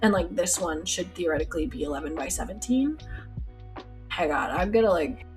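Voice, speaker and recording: A woman's voice: lowish voice, lively intonation, brisk. Congested young woman streaming live. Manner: rambling, chatty, lots of fillers. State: congested, stuffy nose. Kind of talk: casual live-stream chat. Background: soft lo-fi music.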